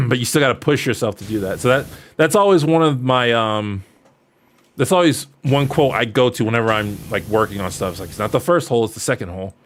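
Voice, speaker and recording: Early 30s dude streaming, casual jokey rambly q&a chat, average pitch, bit of noise behind him. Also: male voice, brisk. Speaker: streamer answering viewer questions. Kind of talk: casual livestream chat, reading out and answering questions. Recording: noticeable background noise.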